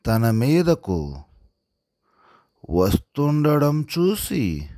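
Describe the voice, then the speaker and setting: A man reading a story aloud as a handwriting lesson, in a deliberate dictation style, pausing after every word or short phrase. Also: mid-range voice, very animated, mellow, no echo, clean studio sound. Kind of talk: slow dictation-style storytelling read aloud while writing.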